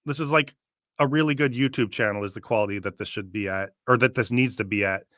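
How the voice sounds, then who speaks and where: A man's voice: on the low side, fast, fairly bright tone, dry recording, clean studio sound. A man co-hosting a show with casual, opinionated reaction commentary in a conversational manner. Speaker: show co-host.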